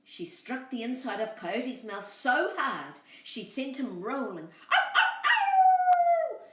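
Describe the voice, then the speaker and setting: Animated woman storyteller. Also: fairly high pitch, slow pace, reverberant, far from the mic. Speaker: children's storyteller, host. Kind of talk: expressive storybook reading for children.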